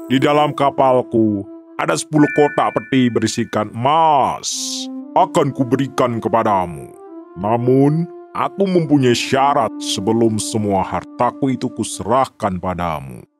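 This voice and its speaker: A man's voice: mid-range voice, expressive, measured pace, music in the background. A man playing a big, sly, confident seafaring envoy in a children's story, speaking in a smug, formal manner.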